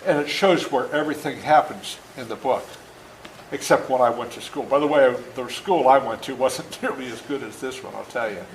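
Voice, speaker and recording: An older man reads his own story aloud to children in an animated, folksy way, stopping for asides to explain things. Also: medium pitch, moderate pace. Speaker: author reading his own book to schoolchildren. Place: indoor room at a school. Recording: echoey room with faint background noise.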